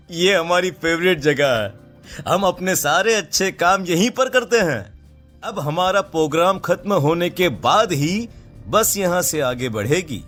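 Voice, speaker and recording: A man's voice: high-pitched, animated, takes it slowly, fairly bright tone, faint music behind, exaggerated voice. A man voicing a brazen, villainous bus crewman in a horror cartoon, with a casual manner. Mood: gleeful menace.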